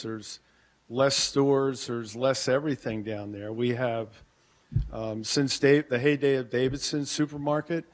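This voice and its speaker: Plainspoken man urging caution. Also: mid-range voice. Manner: plainspoken, halting, urges caution. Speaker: merchants association president speaking at public comment. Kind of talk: public comment at a town council meeting. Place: council meeting chamber.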